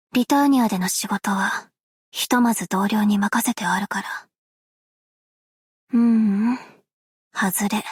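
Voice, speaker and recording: Soft self-effacing game girl, young woman, super breathy near whisper, slightly high voice, quiet and measured. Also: no echo, clean studio sound. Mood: hesitant, mild. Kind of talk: game character voice lines.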